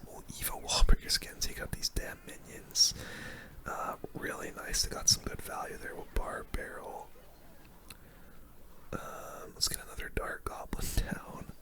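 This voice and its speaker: A gamer whispering softly and breathily into a close microphone, giving ASMR-style running commentary on play while musing aloud. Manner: soft whispering, mild swearing, musing aloud. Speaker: gaming video creator.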